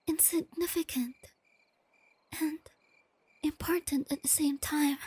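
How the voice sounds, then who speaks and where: A shy, tender, nerdy young girlfriend, acted by a woman for an ASMR roleplay. Her high voice is soft and breathy, often close to a whisper, with a noticeable creak. She speaks slowly and hesitantly in a close, dry recording with night insects behind her. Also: no echo. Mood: dreamy, calm. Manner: hesitant, gently stammering. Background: crickets and night insects.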